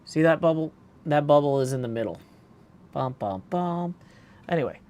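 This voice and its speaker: A man's voice: fairly high pitch, lively intonation, moderate pace, darkish tone. A man livestreaming a game in a casual, easygoing way, often thinking aloud as he goes. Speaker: game streamer.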